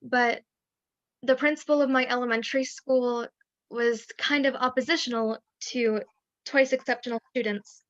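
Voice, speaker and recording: Woman telling a candid story, somewhat high voice. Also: steady tone, moderate pace, a bit bright, no room sound. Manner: candid storytelling with rueful laughs. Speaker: webinar panelist. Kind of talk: personal story on a video webinar. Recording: dry, dull lo-fi sound.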